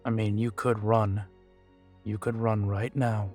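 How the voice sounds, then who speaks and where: A man playing an ancient vampire in an audio roleplay, guarded, weary and grave, speaking in a measured, deliberate way with dramatic pauses. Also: lowish voice, flat delivery, a little mellow, faint music behind, lightly acted. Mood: grave, resigned. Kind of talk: scripted audio roleplay addressed to the listener.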